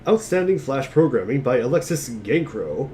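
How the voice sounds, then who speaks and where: A man's voice: mid-range voice, sing-song, normal speed, noisy background. A man giving an amateur dramatic reading of scripted narration. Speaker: reader of narration.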